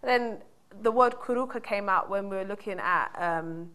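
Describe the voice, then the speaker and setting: A woman in her twenties raised in the UK, chatting as a studio guest on a talk show and telling stories in a lively, laughing way. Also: mid-range voice, moderate pace, clear, resonant tone. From United Kingdom. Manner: bubbly, laughing storyteller. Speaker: guest, dance wellness advisor. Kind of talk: casual interview chat.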